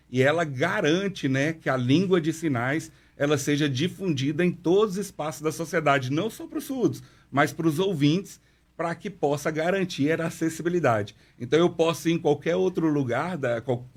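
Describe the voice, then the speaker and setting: A man who teaches and interprets sign language, answering questions in a radio interview in a measured, explanatory way and often adding "né" as he talks. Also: on the high side. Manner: measured explanatory delivery with frequent "né". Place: radio studio.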